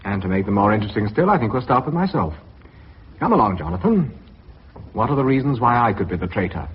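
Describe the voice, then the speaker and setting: An actor playing a measured British vice chairman, formal and authoritative, with a husky voice in a radio drama. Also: lowish voice, very animated, full character voice. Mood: brisk, probing. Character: measured male vice chairman presiding over a tense board meeting. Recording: dull lo-fi radio recording.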